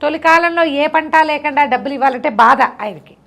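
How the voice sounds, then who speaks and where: A woman's voice: high-pitched, fairly quick, fairly bright tone. A middle-aged woman tells a moral story conversationally, repeating phrases for emphasis and explaining the lesson simply.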